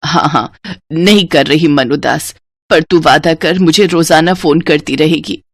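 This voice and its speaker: A woman's voice: low voice, measured pace, no room sound, studio quality, voice pushed down. A woman voicing a loving, worried, poor village mother in a story. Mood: caring, wistful.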